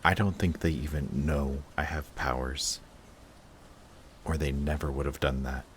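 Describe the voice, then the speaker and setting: A man playing an emotional villain confessing to his longtime enemy in a dramatic audio roleplay, intense, halting and defensive. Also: extremely low pitch, steady tone, a little hiss or hum. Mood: pained, confessing.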